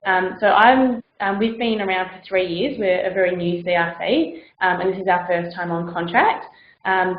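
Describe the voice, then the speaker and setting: Woman centre coordinator, low-pitched, hesitant with fillers; echoey, dull room recording. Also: slight background noise. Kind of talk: workshop participant feedback.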